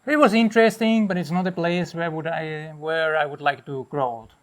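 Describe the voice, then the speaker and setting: A middle-aged man from Austria, a hotel owner, talking in a relaxed and rambling way with restarts while walking his jungle property. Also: fairly high pitch, moderate pace, dry recording. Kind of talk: casual interview on a property tour.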